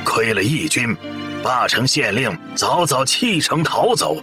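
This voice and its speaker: A man playing an animated, courteous village squire. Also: mid-range voice, unhurried, soft background music. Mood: rueful. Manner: formal archaic speech, sighing exclamations. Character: courteous, grateful village squire in ancient China.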